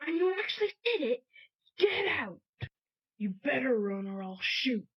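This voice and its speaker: A woman's voice: sits high, very animated, measured pace, fairly bright tone, husky, full character voice, rough recording. A woman acting an angry, menacing armed homeowner in an amateur radio drama. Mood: angry, threatening. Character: angry armed female homeowner confronting an intruder.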